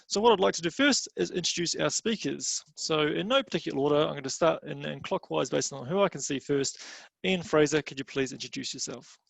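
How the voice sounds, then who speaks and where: A man hosting a video-call panel, his fairly high voice touched with a gravelly edge. He speaks into a computer mic in a dry, close room, friendly and practical. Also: brisk, dry recording. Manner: friendly, practical instructions with fillers. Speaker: webinar moderator.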